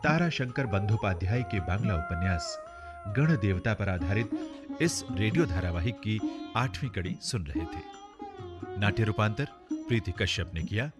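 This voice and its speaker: A man's voice: fairly low pitch, expressive, unhurried. A man working as a radio announcer, reading scripted credits in a formal style. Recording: sitar music under the voice. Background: sitar music.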